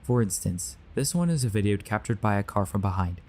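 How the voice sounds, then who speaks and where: A grave low voiced man warning about a conspiracy, earnest, sci-fi audio drama. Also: lively intonation, brisk, darkish tone. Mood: grave, urgent. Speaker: voice actor.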